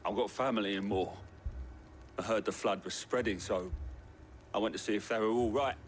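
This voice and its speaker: A man's voice: on the low side, fairly even intonation, moderate pace, slight background noise, natural voice. A man playing a shaken, humble pikeman in a video game drama, talking in plain rustic phrases. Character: shaken common pikeman, humble survivor of monster attack.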